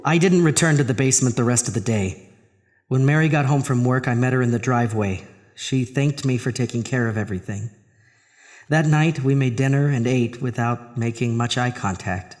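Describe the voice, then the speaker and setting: A man's voice: medium pitch, normal speed, a little mellow, in a large hall, natural voice. A man acting as a troubled husband who narrates his own horror story in an audio drama. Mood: haunted. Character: Arthur, troubled married man haunted by a drain. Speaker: narrator and lead actor.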